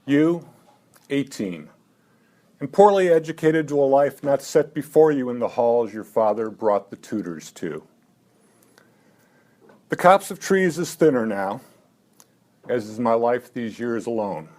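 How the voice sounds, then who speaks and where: A man in his seventies at a live poetry reading, talking haltingly between poems with dry, self-mocking asides and lifting his voice for the verse. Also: medium pitch, lively intonation, a little mellow. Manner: halting talk with many "uh" fillers. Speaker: poet.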